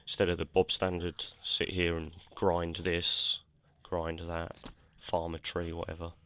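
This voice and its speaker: Croaky low-voiced man. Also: completely flat delivery, unhurried, fairly bright tone. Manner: rambling, self-correcting, many fillers. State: croaky from a cold. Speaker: gameplay commentator. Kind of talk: casual game commentary.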